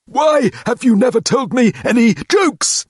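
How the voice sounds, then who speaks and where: A man reading aloud and voicing a pompous, excitable male hospital director who barks imperious demands. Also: a little slow, slightly rough, no echo, clean studio sound, full character voice. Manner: imperious, shrill when excited.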